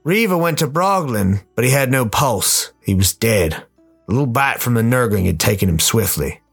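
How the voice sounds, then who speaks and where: Husky man narrating a radio play. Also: mid-range voice, expressive, moderate pace. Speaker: host and narrator. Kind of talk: scripted story narration. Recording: music behind the voice.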